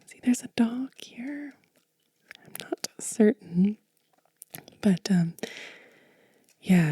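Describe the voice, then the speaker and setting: A woman hosting an ASMR show and tell in a soft, breathy whisper, gentle and unhurried, close to the mic. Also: lowish voice, no echo. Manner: gentle, unhurried, pausing between items. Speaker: ASMR channel host. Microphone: close mic in a dry space.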